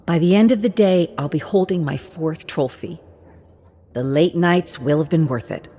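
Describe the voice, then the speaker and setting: A woman's voice: low-pitched, normal speed, dark tone, a little hiss or hum, natural voice. A woman voicing a driven, bitter young competitive gamer in a tense inward monologue from video game lore. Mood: determined, weary.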